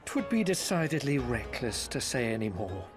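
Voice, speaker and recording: An actor playing a smooth-talking, foppish male merchant agent in a game cutscene, speaking in a theatrical, flowery, ingratiating way. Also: mid-range voice, big pitch swings, normal speed, lightly acted. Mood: teasing. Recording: with background music.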